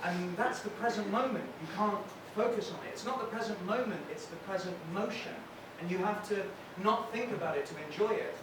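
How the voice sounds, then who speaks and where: A high-voiced Englishman with a softened Brighton accent tells wry stand-up stories in a deadpan way. He is heard from off the mic in a very echoey room. Steady noise hangs behind him. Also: brisk, few pauses. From England. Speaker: stand-up comedian. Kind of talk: stand-up comedy set. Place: comedy venue with audience. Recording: echoey, distant, noisy room.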